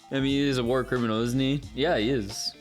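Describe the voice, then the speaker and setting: Male voice actor hosting a casual stream, medium pitch, unhurried and playful, light music underneath. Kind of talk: casual livestream chat.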